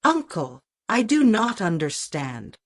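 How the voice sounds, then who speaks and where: An innocent, wronged village boy from a storybook folktale, as a woman narrator plays him. He sounds earnest and pleading, with animated pitch in a dry recording. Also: takes it slowly, dry recording. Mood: disbelieving. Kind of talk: storybook character voice.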